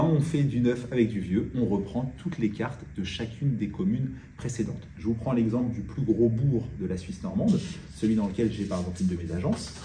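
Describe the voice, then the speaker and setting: A man's voice: medium pitch, mellow. A man giving an informal explainer as a real estate agent presenting a video. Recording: roomy echo, distant mic, faint noise.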